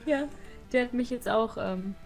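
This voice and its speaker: Young woman gamer with a high, unhurried voice. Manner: giggly, playful, exclaiming. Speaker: let's play host. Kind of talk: casual gaming commentary with a co-player. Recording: game music behind the voice. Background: video game music.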